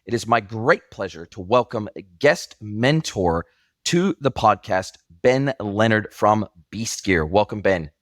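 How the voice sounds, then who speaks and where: Low-voiced podcast host in his 40s, hard to place international accent, dry sound, super enthusiastic and gushing. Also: animated, a little slow, fairly bright tone, no echo. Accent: mixed international. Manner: enthusiastic, effusive.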